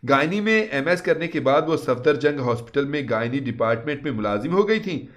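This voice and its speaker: A man's voice: fairly high pitch, expressive, a little fast, fairly bright tone. Male storyteller narrating a moral tale. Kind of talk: scripted narration of a first-person moral story.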